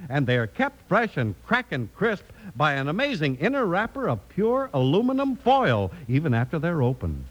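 A man's voice: a little high, wildly swooping pitch, moderate pace, few pauses, low-quality recording. A man announcing in a hearty, enthusiastic 1950s radio style, with showman flair. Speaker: announcer and narrator.

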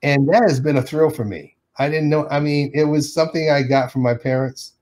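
A man's voice: on the high side, brisk, darkish tone, a little hiss or hum. A middle-aged man from Detroit, an animation artist, telling stories in a casual interview, acting out quotes and laughing often.

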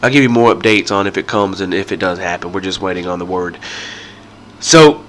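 A man's voice: deep voice, a little fast, a bit bright, a little hiss or hum. A man hosting a podcast, nasal and congested from a sinus infection, rambling casually through his intro with lots of ums and restarts. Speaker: podcast host.

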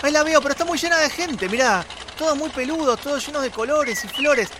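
Actor playing a high-voiced, excitable Argentine man. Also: fast talker. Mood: excited wonder. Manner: exclamatory, theatrically amazed. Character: excitable time-traveling man marveling at 1960s surroundings. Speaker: radio theatre co-host and actor. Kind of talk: comedic radio theatre dialogue. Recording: clearly noisy background.

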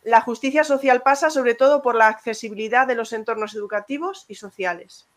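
A woman's voice: a little high, fairly quick. A woman hosting an interview who reads viewer comments aloud and puts questions to her guest. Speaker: host. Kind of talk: hosting a live-stream interview.

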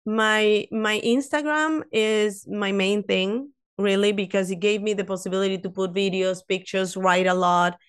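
A woman's voice: mid-range voice, normal speed, few pauses, smooth. A woman in her fifties, not a native English speaker, chatting as a guest on a podcast and telling stories with many restarts and asides. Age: 50s. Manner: animated storyteller, frequent restarts and "you know". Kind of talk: casual interview chat.

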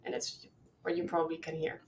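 A woman with a Dutch accent, a guest in a casual podcast chat, speaking softly and a little hesitantly in English, which is not her first language. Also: low voice, monotone, brisk, reverberant, recorded from a distance, slight background noise. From Netherlands.

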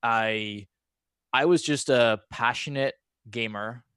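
A man's voice: medium pitch, slow, a bit bright, clear, resonant tone, no room sound, studio quality. Scottish-American man in casual conversation. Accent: half Scottish, half American. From Scotland. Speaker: podcast guest, former pro gamer. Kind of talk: casual chat, personal storytelling.

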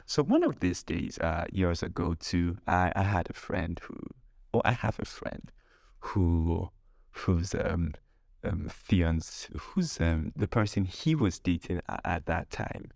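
A man's voice: low-pitched, lively intonation, slow pace, gravelly, a little mellow, no echo, clean studio sound. A man hosting a podcast, telling stories casually and with humour, acting out dialogue as he goes. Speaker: podcast host. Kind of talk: casual humorous storytelling podcast monologue.

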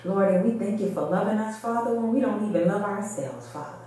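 A woman's voice: medium pitch, fairly quick, dark tone, slight background noise. A woman leading a fervent extemporaneous church prayer in short, repeated invocations. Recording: very echoey reverberant room.

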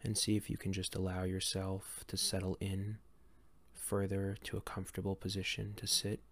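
A man leading a guided meditation in a gentle, calm, unhurried way with long pauses between phrases. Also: deep voice, slight background noise. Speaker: meditation guide and series host.

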